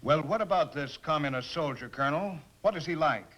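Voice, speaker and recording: A man's voice: a little high, expressive, a little fast, fairly bright tone. A man interviewing a colonel in polite, formal tones, recorded on old film sound. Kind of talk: scripted studio interview. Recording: dull lo-fi 1950s film sound.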